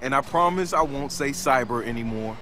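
A man's voice: medium pitch, fairly quick, pushed and bright, slight background noise, lightly acted, poor audio. An actor playing a playful, upbeat young male hacker with casual, teasing delivery in a video game. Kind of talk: video game dialogue acting.

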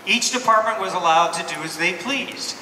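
Bright, high-voiced older man on stage. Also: moderate pace. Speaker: guest speaker, department founder. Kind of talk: scripted stage speech. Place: large theatre stage. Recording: echoey hall from far off, faint noise.

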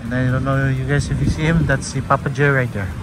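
A man's voice: medium pitch, quick pace. A man narrating a casual vlog of a fishing trip, cheering and whooping when fish bite. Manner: excitable, whoops and cheers at catches. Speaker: vlogger filming a beach fishing trip. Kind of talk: casual vlog narration, mixing Tagalog and English. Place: windy tropical beach outdoors. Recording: loud wind buffeting the phone mic. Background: strong wind noise and surf.

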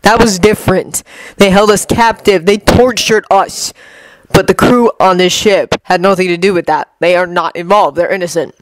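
Quiet, uneasy male hero as a woman reader does him, low-key, dry close read. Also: fairly even intonation, moderate pace, dry recording, lightly acted, rough recording. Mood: pleading. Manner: quiet, hesitant, troubled.

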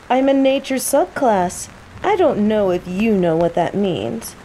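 Woman acting as a slow-talking, smug young mage. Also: medium pitch, poor audio. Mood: teasing, smug. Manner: playful, taunting, unhurried. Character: teasing young female nature mage, smug rival apprentice. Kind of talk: roleplay audio drama monologue. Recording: steady rain noise behind. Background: rain.

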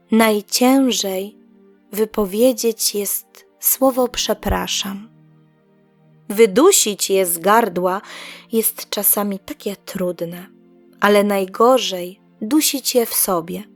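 A woman narrates a scripted children's tale in a measured, deliberate way, pausing between short phrases. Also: medium pitch. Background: music.

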